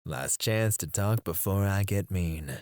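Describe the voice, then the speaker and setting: A man voicing a menacing male mercenary interrogator in an ASMR roleplay, speaking in a low, taunting way close to the mic, sometimes dropping to a whisper. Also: animated, a little slow, darkish tone, dry recording.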